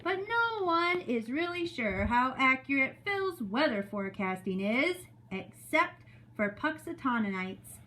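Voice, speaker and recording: A woman teacher reading a story aloud to young children in an expressive way, with casual spoken asides. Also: high-pitched, unhurried, few pauses, rough recording. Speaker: teacher reading story time to second graders.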